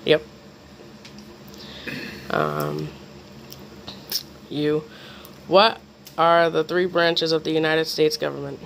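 Higher-pitched man reading quiz questions. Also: animated, slow, creaky, pauses often, a bit bright, a little hiss or hum. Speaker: quiz host.

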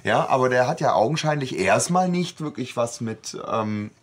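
A man's voice: medium pitch, expressive, moderate pace. A man co-hosting a podcast, chatting casually and explaining things, often correcting himself as he goes. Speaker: podcast co-host. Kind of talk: casual discussion of an audio drama episode.

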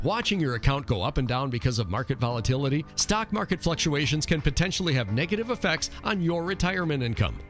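A man's voice: a little high, a bit bright. An American man reading scripted radio advertising as a voice-over announcer. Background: music.

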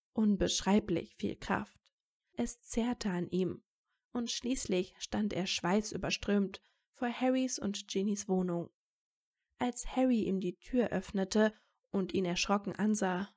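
A woman's voice: medium pitch, a little mellow. Female narrator for fan fiction audio drama. Middle register, scripted storytelling delivery.

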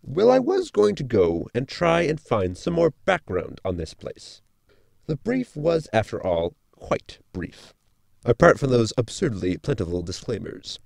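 An actor playing an articulate, bookish science officer in a sci-fi comedy audio drama, speaking formally and precisely. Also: male voice, medium pitch, wildly swooping pitch, measured pace, vocal fry, darkish tone, no echo, clean studio sound, exaggerated voice. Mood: dry, polite. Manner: formal, precise, long-winded.

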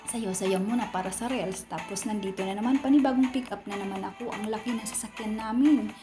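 Woman in her 30s doing a chatty rambling work vlog, mid pitch, phone mic, music loud behind. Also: a little fast. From Philippines. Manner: chatty, rambling, repeats herself. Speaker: vlogger and slimming machine operator. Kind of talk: casual vlog narration. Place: small clinic treatment room, indoors. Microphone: phone held at arm's length.

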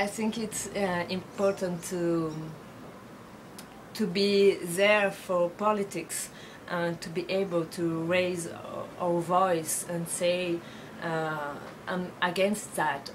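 A woman in her late twenties, born in France with Brazilian and Belgian parents, answering interview questions thoughtfully with many fillers. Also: on the low side. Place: bedroom with bookshelves, indoors. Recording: clear background noise.

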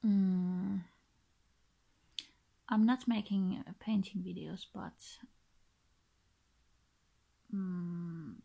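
A woman in her thirties with a Finnish accent, talking casually and hesitantly to the camera in short, halting, breathy phrases. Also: on the low side, fairly even intonation, warm tone. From Finland. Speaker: vlogger introducing her channel. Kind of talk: casual unscripted talk to camera. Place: small bedroom, indoors. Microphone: built-in device mic, close to face.

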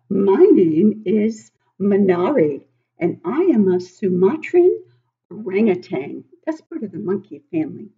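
A woman in her sixties reading a picture book aloud to young children, expressive and exaggerated, often putting questions to the listeners. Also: medium pitch, lo-fi sound. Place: living room at home, indoors.

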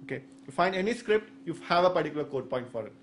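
A man in his thirties with an Indian accent, whose first language is Saurashtra, gives a technical talk into a stand microphone in a conference room. His voice sits a little high, and he explains slowly with frequent fillers and restarts. A faint hum of room noise runs underneath. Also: animated. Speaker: conference speaker. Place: medium indoor conference room. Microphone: stand microphone at the podium.

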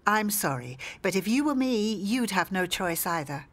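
A woman's voice: mid-range voice, big pitch swings, normal speed. An actress playing a polite, steely landlady in a radio soap, formal and coolly apologetic. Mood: coolly insistent. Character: polite but steely female landlord demanding back rent. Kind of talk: radio soap opera acting.

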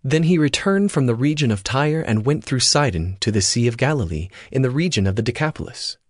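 A man's voice: mid-range voice, expressive, fairly quick, no room sound. A man narrating scripture from a script in a dramatized Bible production.

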